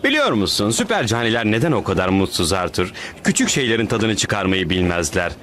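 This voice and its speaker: A man's voice: on the low side, very animated, fairly quick, fairly bright tone. An actor voicing a big, upbeat, dim male superhero in a cartoon dub, with grandiose, theatrical delivery. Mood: blissful, grand. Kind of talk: animated cartoon dub acting.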